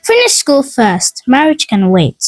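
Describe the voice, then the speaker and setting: A woman voicing a gentle, patient older village sister in a folk tale, speaking with careful pleading. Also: sits high, wildly swooping pitch, a little slow, no room sound, faint music behind, heavily acted, rough recording. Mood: worried, careful.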